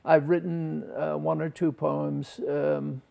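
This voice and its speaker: An older man in his sixties with a heavily creaky voice, a little higher than most men. He answers interview questions thoughtfully and haltingly, often restarting a word. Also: little pitch movement, dark tone. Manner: halting, hesitant, with repeated word starts. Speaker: talk show guest. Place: TV talk show studio. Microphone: lapel.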